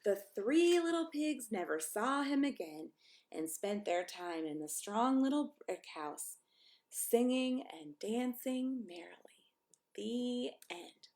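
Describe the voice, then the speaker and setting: A woman's voice: fairly high pitch, expressive, slow, a little mellow. A woman pastor reading a children's story aloud expressively, with chatty asides to young listeners.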